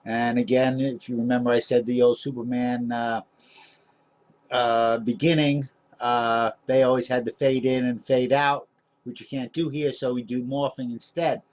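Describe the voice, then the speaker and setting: A man casually narrating a screen-recorded software tutorial, rambling with many fillers and small jokes about himself. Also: mid-range voice, little pitch movement, moderate pace. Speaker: tutorial host.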